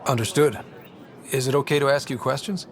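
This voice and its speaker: A man's voice: mid-range voice, fairly even intonation, fairly quick, a little hiss or hum, lightly acted. A man playing an earnest, easygoing human space explorer in a video game, casual and diplomatic. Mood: curious.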